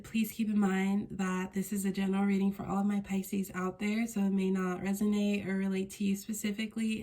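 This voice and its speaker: A stuffy-nosed woman hosts a casual tarot reading in a mellow, flat tone. She meanders, searching for words and revising herself mid-thought. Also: mid-range voice. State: congested, stuffy nose. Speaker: tarot card reader.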